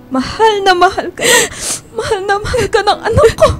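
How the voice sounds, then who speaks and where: A woman's voice: very high voice, a little fast. An actress in a radio drama playing a sorrowful older mother, tender and tearfully consoling. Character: sorrowful, tender older mother who lost her son. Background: music.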